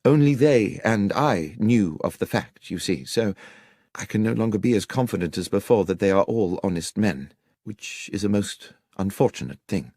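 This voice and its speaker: A man's voice: on the low side, expressive, moderate pace, darkish tone, husky, no echo, studio quality, pitched below the performer's own voice. A man voicing an anxious, courteous young country clergyman in an audiobook, speaking in articulate, polite, wordy sentences. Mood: troubled. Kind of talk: audiobook character dialogue.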